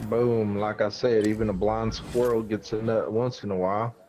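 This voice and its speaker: A man's voice: on the low side, level tone, normal speed, darkish tone. A man chatting casually and profanely in slang while gaming with friends. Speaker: gamer in squad voice chat. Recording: noisy gaming voice chat.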